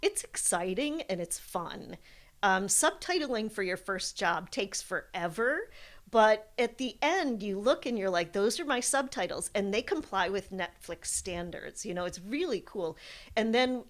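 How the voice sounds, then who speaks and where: A woman explaining her field in an interview, in the manner of a college professor, with animated examples. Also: mid-range voice, moderate pace.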